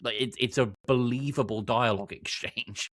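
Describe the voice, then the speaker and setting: A man's voice: mid-range voice, normal speed, a bit bright. A man chatting casually as a podcast panellist, informal and emphatic in how he gives his views. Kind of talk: casual chat.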